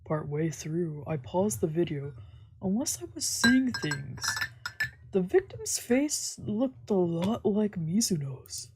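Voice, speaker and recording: Female let's play streamer, low-set mellow voice, reads story text with casual playful asides. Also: big pitch swings, slow pace, slight background noise, low-quality recording. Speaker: streamer doing a visual novel let's play. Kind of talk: reading game text aloud with casual reactions.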